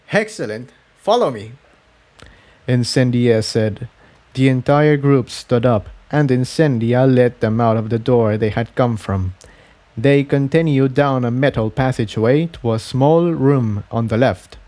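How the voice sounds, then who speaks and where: A man's voice: medium pitch, unhurried, dark tone. A man reading scripted fiction as an audiobook narrator.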